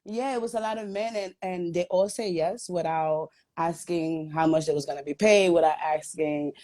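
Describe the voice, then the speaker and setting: A woman's voice: fairly low pitch, fairly even intonation, normal speed, mellow. A woman from Guatemala raised in the Bronx, a guest business owner earnestly telling how her brand began. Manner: earnest, storytelling with "um" fillers. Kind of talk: telling her story in a live chat.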